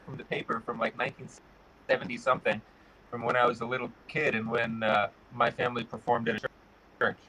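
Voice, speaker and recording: Bright-voiced middle-aged man, unhurried. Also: mid-range voice, rough recording. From Connecticut. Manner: relaxed, pauses with many "uh" fillers. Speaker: guest, guitar builder. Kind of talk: casual interview answers.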